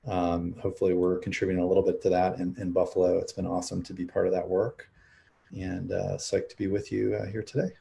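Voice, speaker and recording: A man introducing himself on an online panel, speaking in a relaxed, casual way. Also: sits low, fast, gravelly. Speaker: co-founder and managing partner. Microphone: video call.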